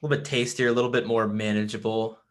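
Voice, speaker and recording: A man's voice: lowish voice, flat delivery, fast talker. A man hosting a podcast, chatting casually and playfully, often repeating words as he starts sentences. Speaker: podcast host. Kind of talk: casual chat.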